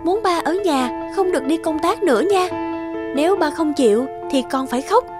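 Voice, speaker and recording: A woman's voice: high voice, animated, normal speed. A woman playing a distressed, clinging young mother and betrayed wife in a cartoon drama. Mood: pleading. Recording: loud music behind the voice.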